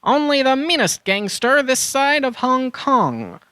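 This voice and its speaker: A performer playing an eager animal adventurer in a children's audio drama, earnest and expressive. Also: high-pitched, unhurried, smooth, no echo, clean studio sound, heavily acted. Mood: urgent.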